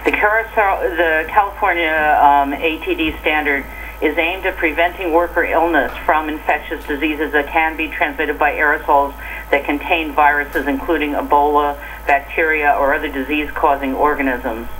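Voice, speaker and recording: An American woman delivers a prepared official briefing by telephone. Her voice sits a little low for a woman, and there is faint noise on the conference line. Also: fairly even intonation, moderate pace, fairly bright tone, low-quality recording. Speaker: government worker safety official presenting.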